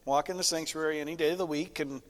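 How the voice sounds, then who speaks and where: A man's voice: on the high side, fairly even intonation, fast. A man who is a pastor, talking casually about faith in a conversational, storytelling way with frequent asides. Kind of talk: casual theological discussion. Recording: echoey hall with long reverb.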